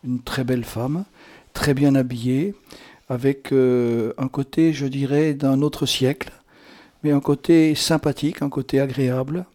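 A man's voice: mid-range voice, steady tone, measured pace, warm tone. A man hosting a radio show in a chatty, improvised interview style, playful and teasing, with rambling, theatrical, flowery turns of speech. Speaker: radio host.

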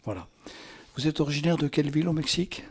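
A man's voice: fairly high pitch, fast, slight background noise. A man hosting a radio show in a chatty, improvised interview style, playful and teasing, with rambling, theatrical, flowery turns of speech. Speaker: radio host.

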